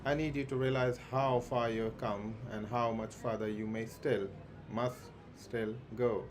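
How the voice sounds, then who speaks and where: A man livestreaming a game, reading its dialogue aloud haltingly, with stumbles and self-corrections. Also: mid-range voice, steady tone, a crowd in the background. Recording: clearly noisy background.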